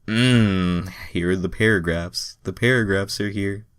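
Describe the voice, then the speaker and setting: Deep-voiced, slow-paced irreverent male story reader. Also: a bit bright, clear, resonant tone, no room sound, clean studio sound. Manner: irreverent, self-deprecating. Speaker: amateur fan fiction reader. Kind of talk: narrated reading with casual mocking asides.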